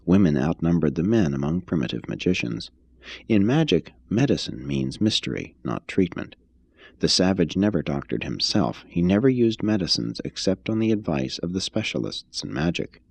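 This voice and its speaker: A man narrates a scripted text in a very low, husky voice. His delivery is measured and formal, yet the pitch moves in lively swings. The sound is dry and close. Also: no room sound. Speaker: reader, narrator. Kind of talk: scripted audiobook-style reading of an expository text.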